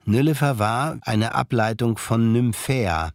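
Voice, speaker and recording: A man narrating a crime radio play, reading scripted literary prose in a measured storytelling way. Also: mid-range voice, dry recording, clean studio sound.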